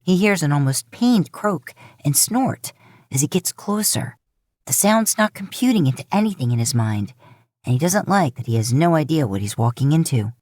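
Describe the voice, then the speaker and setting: Low-voiced woman narrating fiction. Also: animated, moderate pace, darkish tone, no echo, clean studio sound. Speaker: podfic narrator. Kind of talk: scripted fan fiction narration.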